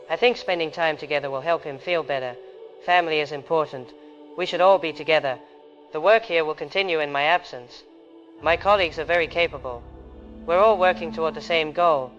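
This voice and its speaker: An actor playing an eerily serene researcher, recording a calm, measured, detached diary entry for an audio drama. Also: male voice, fairly high pitch, close to an everyday voice. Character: eerily serene male researcher, secretly changed, logging recordings. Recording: dull lo-fi log recording.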